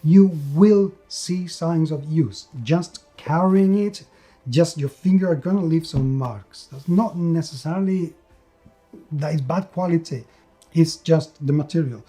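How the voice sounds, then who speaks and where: A man's voice: on the high side, measured pace, mellow, faint music behind. A man giving an unscripted, enthusiastic video review of a tabletop game, repeating words for emphasis.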